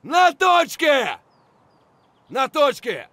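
Aggressive game soldier, coarse urgent shouts, extremely high pitch, sharp forceful tone, drawn-out delivery. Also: male voice, wildly swooping pitch, dry recording, full character voice. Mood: urgent, shouting. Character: aggressive foul-mouthed Russian soldier shouting under fire. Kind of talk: military shooter game voice lines.

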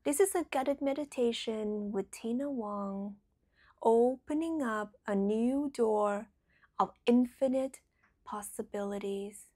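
A woman leading a guided meditation in a soothing, deliberate way, letting phrases trail into a breathy whisper. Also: a little high, warm tone.

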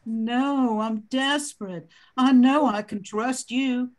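A woman's voice: on the high side, takes it slowly. An older woman playing an anxious, desperate widow in an amateur radio drama.

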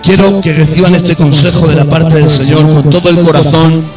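A man preaching a sermon, passionate, dropping to quiet low tones and then rising to shouted peaks. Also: steady tone, moderate pace, distant mic, over loud music. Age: adult. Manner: impassioned, swings between low intimate tones and high shouted peaks.

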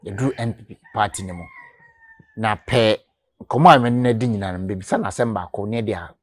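A man's voice: fairly low pitch, fairly quick. A man in his thirties with a Ghanaian accent, hosting an outdoor interview in a mix of Twi and English on a lapel mic. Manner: lively, mixes Twi and English, makes channel announcements. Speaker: interview host. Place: outdoors in a garden yard.

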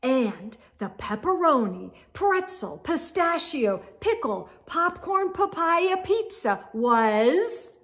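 A middle-aged woman reading a children's storybook aloud with expressive, exaggerated emphasis and sing-song refrains. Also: high voice, slow, few pauses. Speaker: storytime read-aloud host. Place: indoor room with bookshelves.

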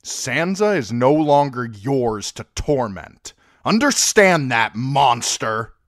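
A man voicing a sardonic, sharp-tongued dwarf lord who answers with biting wit. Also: medium pitch, huge pitch swings, slow, dry recording, clean studio sound, voice pushed up, heavily acted. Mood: furious.

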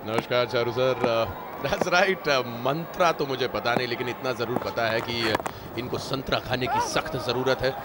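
A male co-commentator at a cricket match, polite and measured. Heavy crowd and ground noise sits under his mid-pitched voice. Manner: polite, addresses partner as sir, measured. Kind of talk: live cricket commentary mixing Hindi and English. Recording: commentary with loud stadium noise.

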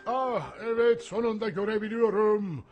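A man's voice: high voice, steady tone, moderate pace, highs cut off, close to an everyday voice. An actor playing a needy old snowman in a children's cartoon, exclaiming plaintively. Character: old snowman, needy, wanting eyes and clothes. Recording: music behind the voice.

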